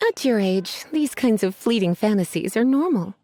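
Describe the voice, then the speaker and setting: A woman's voice: medium pitch, lively intonation, normal speed, mellow, natural voice. A woman voicing a sly young librarian mage who teases and sighs languidly, in video game dialogue. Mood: teasing. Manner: languid, teasing, sighing. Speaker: voice actor. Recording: game audio with faint music.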